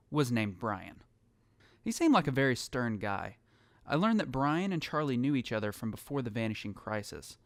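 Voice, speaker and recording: A man's voice: mid-range voice, no echo, natural voice. A man playing a lonely, haunted survivor, narrating his story in a somber, reflective way for an audio drama. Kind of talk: scripted first-person audio drama narration. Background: music.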